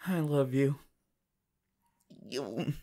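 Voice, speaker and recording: A man playing a shy, devoted, easily flustered teenage boy hero in an intimate, halting romantic roleplay. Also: mid-range voice, warm tone. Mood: tender, loving. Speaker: voice actor. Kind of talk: romantic roleplay audio, intimate fan performance.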